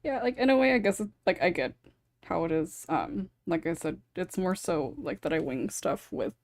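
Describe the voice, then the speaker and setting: A woman's voice: lowish voice, animated, normal speed, a little mellow, dry recording, studio quality. A woman with a chatty, giggly, informal manner, hosting a casual panel discussion. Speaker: podcast host and writer.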